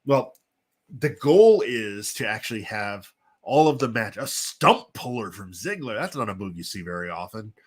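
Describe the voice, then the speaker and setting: A man with a congested, sniffly voice from a chest cold, hosting and giving casual, halting play-by-play commentary on simulated wrestling. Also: lowish voice, big pitch swings, breathy edge. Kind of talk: casual live play-by-play commentary with chatty asides.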